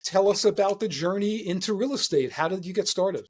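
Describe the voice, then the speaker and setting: A man's voice: high-pitched, a little fast, darkish tone. A man hosting an interview show, friendly and affirming, with frequent fillers. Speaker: interview host.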